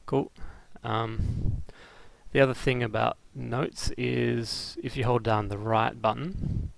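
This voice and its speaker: A man giving a casual, unscripted software tutorial, thinking aloud in a halting, hesitant way with dry, self-deprecating humour. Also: medium pitch, steady tone, gravelly, no echo, low-quality recording. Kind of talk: casual unscripted screen-recorded software demo.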